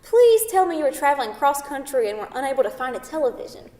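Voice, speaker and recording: A high female voice rings from far off in an echoey hall. It is an actress as an indignant, sarcastic young woman in a stage comedy, scolding with sharp emphasis. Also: very animated, quick pace. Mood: dry, needling. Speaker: actor in a staged play reading. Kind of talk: staged reading of a comedic two-person play. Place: theatre stage, picked up from a distance. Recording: echoey theatre hall, distant microphone.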